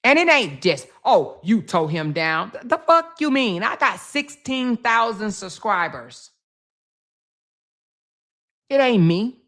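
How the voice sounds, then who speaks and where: A woman's voice: medium pitch, very animated, takes it slowly, fairly bright tone. A woman hosting her own commentary channel, talking bluntly and profanely, hammering points home by repeating them. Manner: blunt, profane, emphatic with repetition. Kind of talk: casual social media commentary.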